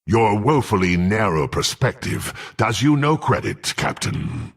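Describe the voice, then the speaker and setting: A menacing, proud half-orc fighter from a fantasy game. He talks slowly and formally in a gruff, husky voice, swinging from growls to haughty commands. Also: lowish voice, sing-song, exaggerated voice. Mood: scornful. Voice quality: gruff, gravelly. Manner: haughty, threatening, formal archaic speech. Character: menacing proud half-orc warrior sworn to dark patron. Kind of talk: dramatic fantasy game voice acting.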